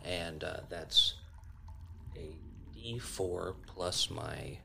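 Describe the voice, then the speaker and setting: A man's voice: low voice, very slow, creaky, pushed and bright, a little hiss or hum. A man playing a tabletop game, talking casually as he takes his turns.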